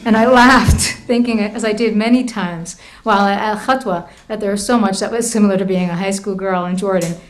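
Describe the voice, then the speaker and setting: Woman lecturing about her book, lots of "kind of" and "sort of", mid-pitch voice. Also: fairly even intonation, a little fast, few pauses, poor audio. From United States. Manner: hedging, fillers, restarts, reads quotes from her book. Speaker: author giving a book talk. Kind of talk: academic lecture with anecdotes.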